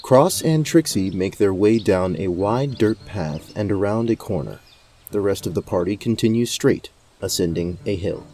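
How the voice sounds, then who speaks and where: A man's voice: on the low side, lively intonation, moderate pace, mellow. A man giving scripted narration for a fantasy audio drama. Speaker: narrator and game storyteller.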